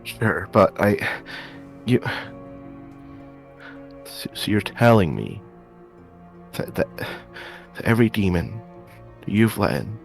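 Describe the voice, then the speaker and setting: A man acts out a sceptical, wary questioner in an improvised anime game roleplay. His voice is fairly low, and he stumbles over repeated words as he presses for answers. Light music runs underneath. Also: sing-song, takes it slowly, vocal fry, long pauses, full character voice. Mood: doubtful, pressing. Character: wary, sceptical male questioner distrustful of demons.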